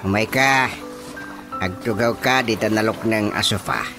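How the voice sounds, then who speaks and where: A man's voice: fairly low pitch, measured pace. A man with an Ilocano accent playing a jolly older village man welcoming a guest in a radio drama. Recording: radio drama with music underneath.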